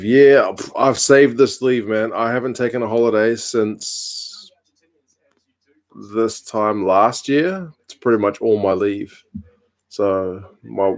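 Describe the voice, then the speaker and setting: A man, hosting a casual live stream from home, reading out viewer comments with a relaxed, chatty manner and dry humour. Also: lowish voice. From New Zealand. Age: 30s.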